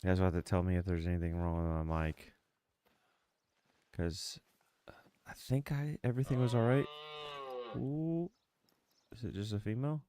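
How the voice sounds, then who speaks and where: A man's voice: on the low side, fairly even intonation, moderate pace, pauses often, dark tone, slight background noise. A congested, sniffly man in his mid thirties chatting casually as he livestreams a game, close on the mic. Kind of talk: casual livestream chat with gameplay commentary. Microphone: close streaming microphone.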